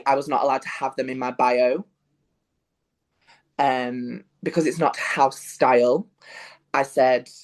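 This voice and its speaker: A young man from Yorkshire with a Northern English accent, chatting as an interview guest, full of fillers and restarts. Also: mid-range voice. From Yorkshire, England. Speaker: guest, musical theatre performer. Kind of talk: casual interview chat.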